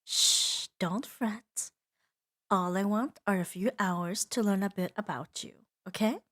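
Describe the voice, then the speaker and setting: A woman voicing a seductive, possessive female demon in an intimate ASMR roleplay, teasing and soothing with soft dominance. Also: medium pitch, measured pace, lightly acted. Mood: coaxing, possessive. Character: seductive, possessive female demon doting on her new pet.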